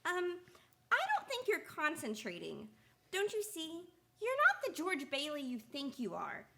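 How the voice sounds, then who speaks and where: Actress as a naive female guardian angel, radio play, cheerful, high voice, swooping intonation. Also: wildly swooping pitch, measured pace, gravelly, bright, forceful tone, exaggerated voice. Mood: excited. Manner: chirpy, exclaiming, giggly. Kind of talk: stage-style radio play acting.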